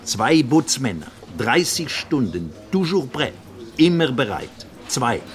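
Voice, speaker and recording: A man's voice: medium pitch, very animated, slow. An actor playing an older French naval officer in a radio drama, with a French accent, searching for words and slipping into his native French. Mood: reminiscing, halting. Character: elderly French naval officer, talkative, worldly, halting memory.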